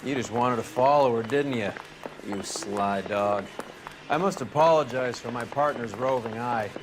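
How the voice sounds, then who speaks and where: An actor playing a sly, mocking 1940s detective who trades wisecracks and insults. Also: male voice, medium pitch, unhurried, a crowd in the background, noisy background, natural voice. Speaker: police detective partner. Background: music score.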